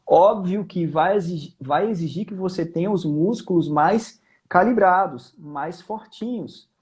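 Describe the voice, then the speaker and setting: A man's voice: high voice, unhurried, a little mellow. A Brazilian man explaining technique as a guest singing teacher, informal and emphatic, repeating his key points.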